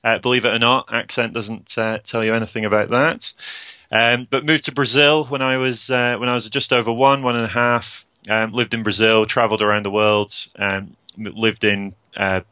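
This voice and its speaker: A young man as a podcast guest, talking casually with many pauses, ums and restarts. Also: mid-range voice, fairly even intonation, sharp tone, smooth, dry recording. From Scotland, raised in Portugal. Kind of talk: casual interview chat.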